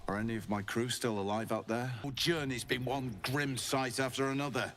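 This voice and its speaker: An actor playing a weary, shrewd smuggler on the road in a fantasy video game, gruffly friendly and confiding. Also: male voice, mid-range voice, steady tone, moderate pace, heavy background noise, natural voice. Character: weary, shrewd male smuggler and caravan traveler. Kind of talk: video game dramatic dialogue.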